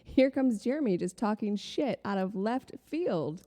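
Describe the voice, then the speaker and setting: A woman's voice: mid-range voice, expressive, unhurried, dark tone, long reverb tail. A young woman from the Chicago suburbs, a model and founder, chatting as an interview guest in a sarcastic, teasing way. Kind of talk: casual interview conversation.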